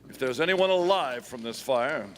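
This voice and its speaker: A man acts out a heroic masked cowboy lawman of radio drama, with a very animated pitch. His delivery is resolute and declamatory, ringing through a noisy, reverberant hall. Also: normal speed, natural voice. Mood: concerned, steady. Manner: declamatory, commanding calls to his horse. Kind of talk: old-time Western radio play acting. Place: performing arts center stage, live. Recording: reverberant hall, live stage, audible noise.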